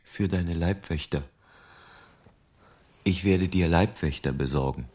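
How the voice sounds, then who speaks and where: A man's voice: deep voice, slow pace, darkish tone. A man playing a tough, persistent private detective in a German crime radio drama, terse and insistent. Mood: laconic.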